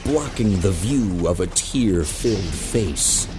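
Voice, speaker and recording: A man narrating a scripted audio drama in a measured, dramatic way. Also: deep voice, expressive, over music.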